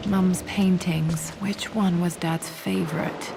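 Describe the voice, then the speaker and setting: An actress playing a pensive young British woman explorer in a video game, murmuring to herself in hushed tones as she searches. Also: on the low side, fairly even intonation, slow pace, vocal fry, noisy background. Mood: wistful. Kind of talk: video game cutscene acting, musing to herself.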